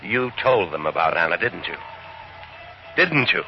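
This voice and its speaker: Blunt plain-spoken narrator, low voice, muffled old radio recording with music. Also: male voice, big pitch swings, vocal fry, full character voice. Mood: tense. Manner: measured narration, blunt in dialogue. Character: plain-spoken man who narrates his own story. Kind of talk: 1950s radio drama narration.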